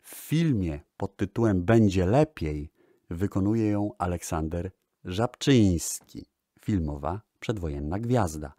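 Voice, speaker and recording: A man hosting a music history show, narrating in a measured, formal radio style and addressing the listeners. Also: low voice, lively intonation, warm tone, dry recording, clean studio sound. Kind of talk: radio-style narration about old recordings.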